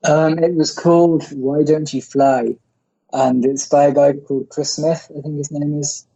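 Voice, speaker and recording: An English man in his thirties with a West Midlands accent, a guest telling a podcast host about a cycling journey in a relaxed, thoughtful way, over a remote call. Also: on the high side, a little slow, vocal fry, breathy edge. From England. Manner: relaxed, thoughtful, some hesitations. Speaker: guest, cycle tourer. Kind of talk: casual interview talk. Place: remote call while travelling. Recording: muffled lo-fi call audio.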